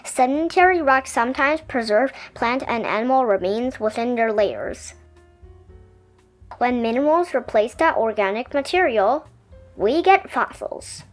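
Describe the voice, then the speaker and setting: A woman reads a picture book aloud for children. Her voice is high and highly melodic, explaining things expressively, with soft music behind her. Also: measured pace. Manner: expressive, explanatory storytelling delivery. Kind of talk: children's science book read-aloud, scripted narration.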